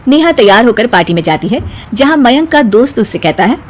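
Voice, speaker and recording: A woman narrating a cartoon moral story in a lively storytelling manner. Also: on the high side, brisk.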